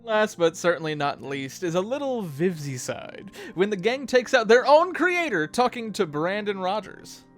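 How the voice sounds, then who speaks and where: Animated, expressive video host over music. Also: medium pitch, normal speed, hardly any pauses. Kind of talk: conversational video commentary.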